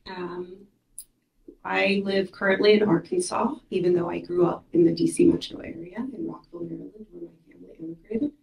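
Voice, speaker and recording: A woman in her thirties from Ukraine whose first language is Russian, reading her poems aloud in a measured, soft-spoken way at a mic stand in a small gallery room. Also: lowish voice, fairly even intonation, gravelly. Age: 30s. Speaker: poet. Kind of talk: poetry reading. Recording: roomy room echo.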